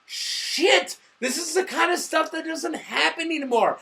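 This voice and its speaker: A drunk man co-hosting a casual, sweary movie review, excitable and given to shouting. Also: very high-pitched, measured pace, creaky.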